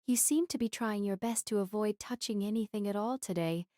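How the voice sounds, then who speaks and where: A woman's voice: medium pitch, brisk, warm tone, no echo, close to an everyday voice. A dutiful female knight, acted by a woman for an audio drama. She is formal, protective and earnest, recorded close and dry with no room sound. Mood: concerned, questioning. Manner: formal, deferential.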